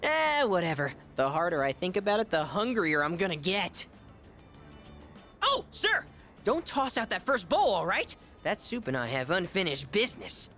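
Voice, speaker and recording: A pompous teenage foodie from a video game. The voice is high for a male and swings theatrically from squeals to low asides, over faint background music. He sounds bombastic and greedy. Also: sing-song, moderate pace, bright, forceful tone, heavily acted. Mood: bossy, boastful. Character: gluttonous, pompous, theatrical male high-school student, self-styled gourmet.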